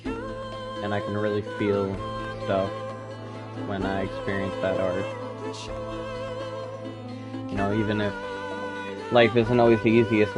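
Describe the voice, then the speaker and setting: A man with a lowish voice chats to his stream over clearly audible music. He speaks earnestly and sentimentally, in the casual, reflective way of a gamer sharing with viewers. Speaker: video game streamer. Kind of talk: casual livestream commentary. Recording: loud music behind the voice. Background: game credits music.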